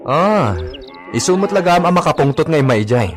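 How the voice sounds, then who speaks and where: A man's voice: fairly high pitch, lively intonation, normal speed, crowd noise, close to an everyday voice. An actor playing a reassuring man who rallies worried villagers in a radio drama. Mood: reassuring, earnest.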